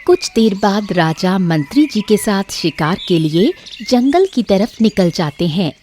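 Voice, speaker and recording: A woman narrates a folk tale in a scripted storytelling style. Her voice sits at an average female pitch, with light music playing underneath. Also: animated, measured pace, darkish tone.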